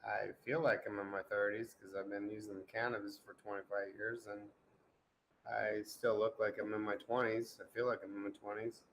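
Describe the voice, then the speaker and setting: A man's voice: lowish voice, flat delivery, a little fast, fairly bright tone, a little hiss or hum, poor audio. A man in his forties chatting casually, loose and profane, teasing the others with jokes. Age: 40s. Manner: loose, profane, joking banter. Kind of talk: casual group chat.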